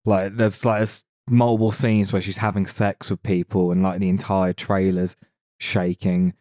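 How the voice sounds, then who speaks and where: A man's voice: low-pitched, little pitch movement, moderate pace, mellow, no echo, clean studio sound. A man co-hosting a casual podcast discussion about films. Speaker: podcast co-host.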